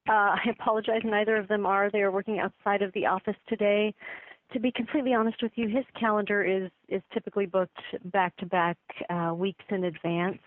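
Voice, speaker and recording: The voice is a woman's, at an ordinary pitch, coming through a narrow, dry phone connection. She sounds like an office receptionist, courteous and deferential, careful to apologize and offer help. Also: level tone, a little fast, no room sound. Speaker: receptionist or office assistant taking calls. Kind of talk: polite business phone call. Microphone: telephone. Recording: tinny band-limited phone line.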